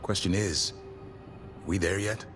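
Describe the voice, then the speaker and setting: A man's voice: sits low, steady tone, slow pace, over music. A man playing a sober, hard-headed fighter in a fantasy video game. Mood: wary. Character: sober, hard-headed male fighter questioning the battle plan.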